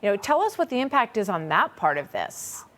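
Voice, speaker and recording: A woman's voice: mid-range voice, sing-song, a little fast, a bit bright. A woman hosting a broadcast news interview, speaking in an earnest, serious manner. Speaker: news host interviewing a guest.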